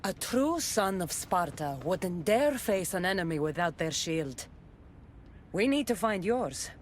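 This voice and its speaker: Steadfast warrior, firm and loyal. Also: female voice, on the low side, very animated, a little slow, a little hiss or hum. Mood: firm, caring. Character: steadfast Greek warrior woman, loyal, wry, battle-hardened. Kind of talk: dramatic video game acting.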